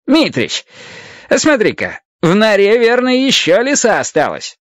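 A man voicing a brisk male hunter in a radio story. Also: sits high, wildly swooping pitch, no echo, pitched above the performer's own voice, full character voice. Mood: excited. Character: tall male hunter, brisk, satisfied with his catch.

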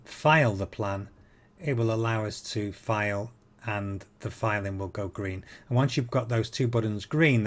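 A man in his fifties explaining software in an informal tutorial, hesitant and halting, with frequent ums. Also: lowish voice. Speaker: presenter of a flight simulator tutorial series.

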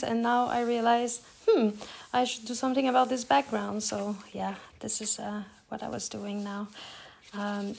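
A middle-aged woman from Quebec whose first language is French, speaking English with a Quebec French accent as she calmly talks through her art process with many pauses and fillers. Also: a little high, gravelly, slight background noise. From Quebec, Canada. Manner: relaxed, hesitant, many fillers and restarts. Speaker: artist demonstrating her process. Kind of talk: casual voice-over tutorial.

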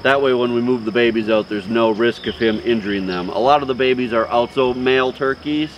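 A man's voice: medium pitch, fairly quick, dull audio, slight background noise. A man in his forties hosting a homestead vlog, speaking casually to camera outdoors on a farm.

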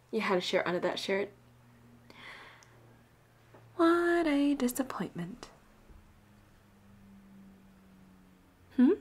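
A woman voicing a flirtatious, teasing, commanding pirate captain in an intimate audio roleplay, often dropping into breathy whispers. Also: fairly high pitch, heavily acted. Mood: flustered, teasing.

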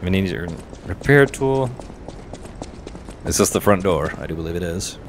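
A man's voice: sits low, expressive, moderate pace, a little hiss or hum. A man giving casual let's play commentary, muttering half to himself and half to the game. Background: video game sound.

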